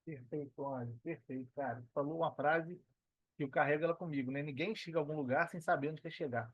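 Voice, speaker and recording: A man's voice: a little high, fast talker, dry recording, lo-fi sound. A man with a Brazilian Portuguese accent speaking as a podcast guest in a casual, motivational way, repeating phrases for emphasis.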